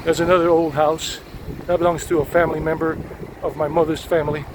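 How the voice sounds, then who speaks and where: A chatty middle-aged man narrates a walking tour, thinking out loud as he strolls. His voice sits a little high. Cars and street noise come through clearly around him. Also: level tone, fairly quick. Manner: chatty and rambling, switching into Spanish with locals. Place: city street outdoors. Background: traffic and street noise.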